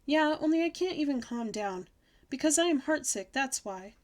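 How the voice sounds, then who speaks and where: A woman voicing an excitable, sassy, dramatic little kindergarten girl with childish, emphatic speech in a children's audiobook. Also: sits high, brisk, voice pushed up. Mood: upset, indignant. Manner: childish bad grammar, indignant, emphatic. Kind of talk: children's audiobook character reading.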